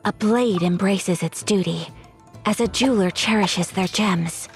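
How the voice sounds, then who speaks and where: A woman's voice: medium pitch, unhurried, faint music behind, lightly acted. A woman voicing a poised, honorable young noblewoman swordfighter in a video game, speaking formally and politely. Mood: composed, firm.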